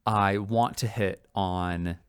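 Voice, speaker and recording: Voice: low voice, steady tone, takes it slowly, clean tone, dry recording, clean studio sound. A podcast co-host in a casual creative brainstorming chat, talking enthusiastically and often doubling back on words.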